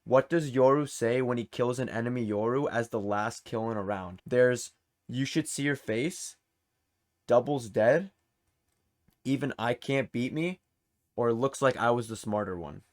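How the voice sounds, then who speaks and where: A man's voice: mid-range voice, a little slow. A man hosting a gaming quiz in a casual, chatty, encouraging way. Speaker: quiz show host.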